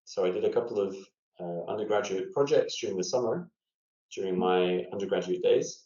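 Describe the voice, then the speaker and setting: Scottish guy on a podcast call, mellow low voice, lots of uhs, roomy dull sound. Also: brisk. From Scotland. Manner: thoughtful, hesitant with frequent fillers and restarts. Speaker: guest scientist. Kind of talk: casual interview. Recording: echoey room, dull lo-fi audio.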